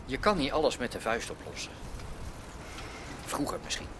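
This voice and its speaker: An actor playing a slick, self-serving Rotterdam gangster in a radio drama, talking in an argumentative, businesslike way. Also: male voice, medium pitch, sing-song, moderate pace, background noise, close to an everyday voice. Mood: placating. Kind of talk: naturalistic radio drama dialogue.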